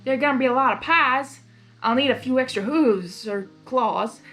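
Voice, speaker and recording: A woman's voice: sits high, normal speed, a bit bright, soft background music, pitched above the performer's own voice. A woman voicing a kindly, plain-spoken cowgirl pony with a folksy country drawl, in a storybook reading. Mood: earnest, helpful.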